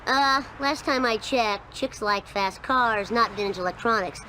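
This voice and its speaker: Raspy, cocky cartoon boy. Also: a little high, measured pace, pushed and bright, a little hiss or hum, poor audio. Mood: cocky, brash. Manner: brash, wisecracking. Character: cocky mischievous ten-year-old cartoon boy.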